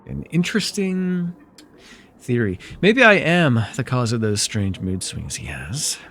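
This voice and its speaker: A taunting, flirtatious villain of nightmares, acted out by a man for fantasy ASMR. The mid-pitched male voice is theatrical and very animated, teasing with mock sweetness. A little background noise sits under the recording. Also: creaky, heavily acted. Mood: sly, teasing. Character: villainous nightmare lord, sly, taunting, flirtatious dream invader. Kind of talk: ASMR fantasy roleplay acting.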